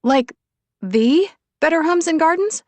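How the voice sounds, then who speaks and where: A woman's voice: very high voice, expressive, unhurried, a little mellow, dry recording, pitched above the performer's own voice. A frazzled woman of about thirty, acted out by a female narrator, feisty and self-deprecating, with emotion close to the surface. She speaks in audiobook dialogue with a dry, close sound. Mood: excited disbelief.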